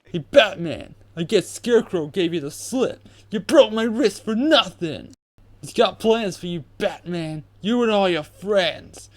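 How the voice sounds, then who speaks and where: A man playing a taunting, vengeful street thug, loud and jeering, in an amateur video game fan dub. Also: high voice, lively intonation, unhurried.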